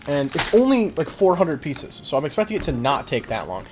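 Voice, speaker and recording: A man's voice: fairly high pitch, very animated, brisk, very noisy. A young adult man livestreaming in a casual, slangy, profane and self-deprecating way while he chats with viewers. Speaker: livestreamer, host.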